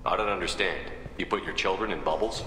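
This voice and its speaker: An actor playing a direct, businesslike military captain in a video game, asking probing questions. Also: male voice, deep voice, fast talker, sharp tone, a little hiss or hum. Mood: probing, steady. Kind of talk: video game dialogue acting. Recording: echoey hall reverb, music behind.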